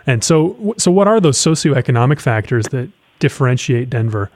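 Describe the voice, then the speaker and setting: A man's voice: medium pitch, lively intonation, a little fast, a little mellow, no echo. A man hosting a podcast interview, asking conversational questions in a tentative, carefully qualified way.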